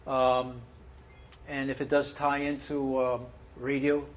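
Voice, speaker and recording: A man's voice: mid-range voice, level tone, slow, slight background noise. A man organizing a club meeting, giving members casual, directive instructions with some fillers.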